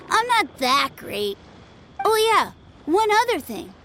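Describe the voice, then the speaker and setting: A woman's voice: very high-pitched, big pitch swings, slow pace, noisy background, exaggerated voice. A woman voicing a cheerful, boastful small child who plays at being a martial-arts master, eager and childlike, in a video game. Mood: happy, friendly. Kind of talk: video game dialogue acting. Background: background music.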